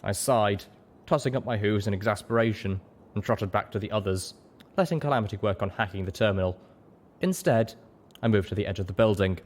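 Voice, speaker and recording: A man's voice: fairly low pitch, fairly quick, slight background noise. A man reading scripted first-person story narration as an audiobook narrator.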